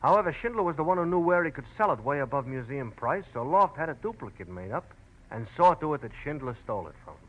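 Brusque American police lieutenant, lively inflection. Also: male voice, on the high side, quick pace. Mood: businesslike. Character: busy, gruff male police lieutenant, inquisitive. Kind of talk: 1940s radio drama acting. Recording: muffled old radio broadcast.

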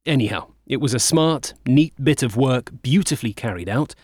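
A man acts a proud naval captain giving his wartime diary in a dramatised reading. His delivery is brisk and clipped, with terse, matter-of-fact phrasing, in a mid-pitched voice recorded dry and close. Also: animated, darkish tone, dry recording, close to an everyday voice.

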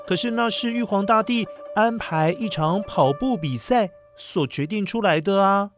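Lady reading kids story, expressive, talks to the children, medium pitch, music playing behind. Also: normal speed. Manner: expressive, addresses children directly. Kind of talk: scripted storytelling for young children.

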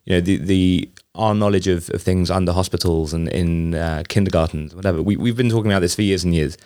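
A podcast host with a low, deep voice asks his guest long, winding questions. He halts often and circles back mid-sentence, and the close mic sounds dry and dead. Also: fairly even intonation, darkish tone, dry recording. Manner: halting, many fillers and restarts. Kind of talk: interview questions.

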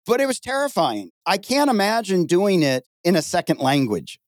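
A man hosting a podcast interview, animated and jokey with a comic's timing. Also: sits high, normal speed, dry recording. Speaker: podcast host.

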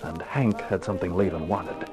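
Male actor as 1950s radio gumshoe: low register, terse, cool, wry slang, music underneath. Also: fairly even intonation, moderate pace, close to an everyday voice. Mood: moody narration. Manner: terse, wry, slangy tough-guy talk. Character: hardboiled male private eye, cool, wisecracking, unafraid. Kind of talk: 1950s radio detective drama acting and narration.

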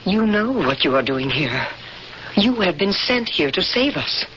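An actress playing a solemn, insistent interrogator and secret rebel believer, intense and unyielding, in 1970s radio drama style. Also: female voice, on the low side, sing-song, a little slow. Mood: hushed, insistent. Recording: dull radio recording with faint noise.

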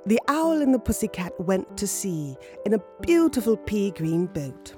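Animated woman narrator over music. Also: medium pitch, measured pace. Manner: expressive, polished delivery. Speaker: presenter and reader. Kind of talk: scripted narration.

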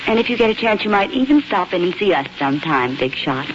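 A woman's voice: lowish voice, big pitch swings, quick pace. A woman playing a wry, reproachful, teasing sister in a 1940s radio drama, with a dry, needling delivery. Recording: muffled, noisy 1940s radio recording.

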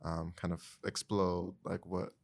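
A man's voice: sits low, little pitch movement, measured pace, creaky. An American man from North Carolina, talking thoughtfully as a podcast guest in a conversational way. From Greensboro, North Carolina, USA.

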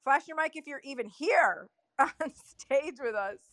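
A woman's voice: high voice, expressive, a little slow, fairly bright tone, dry recording, studio quality. A woman moderating a live chat room as host, speaking in an informal, direct, casual way.

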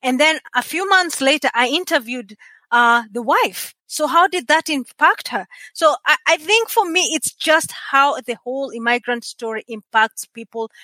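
A woman with a Kenyan accent, speaking as a podcaster guest in an interview, earnest and expressive as she tells stories. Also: high-pitched, no echo. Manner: expressive storyteller, measured and earnest. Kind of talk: conversational interview.

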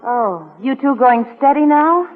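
An actress playing a sardonic, insistent and teasing fiancée in a 1940s radio drama. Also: female voice, high-pitched, lively intonation, unhurried. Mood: dry, teasing. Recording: muffled tinny 1940s radio recording.

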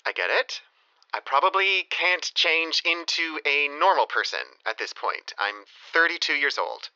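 An actor playing a rambling, self-pitying lovesick ex-boyfriend in his thirties, halting and confessional, in an audio comedy drama. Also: fairly high pitch, sharp tone, lo-fi sound, exaggerated voice. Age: 30s.

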